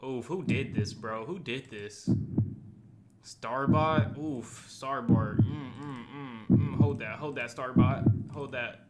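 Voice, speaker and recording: A man's voice: medium pitch, takes it slowly, very noisy. A man hosting a reaction video in a casual, slangy, exclamatory way, often repeating his phrases. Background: music.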